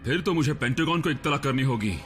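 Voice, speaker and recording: A man playing a stern government agent in a cartoon, his slightly high voice clipped and accusing. Music plays behind him. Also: fast talker, a bit bright, lightly acted. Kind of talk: Hindi cartoon dub.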